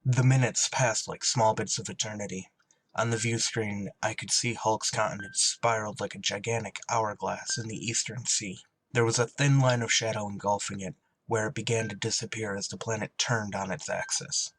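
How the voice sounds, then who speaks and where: An actor playing a somber, haunted shuttle pilot in an audio drama, grave and restrained. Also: male voice, fairly low pitch, fairly even intonation, moderate pace, fairly bright tone, a little hiss or hum. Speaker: lead actor and narrator.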